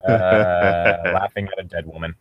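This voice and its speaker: A man's voice: low voice, steady tone, moderate pace, no room sound, highs cut off. A man co-hosting a reading show, giving casual critical commentary on a story among friends. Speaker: co-host of a fan fiction reading show.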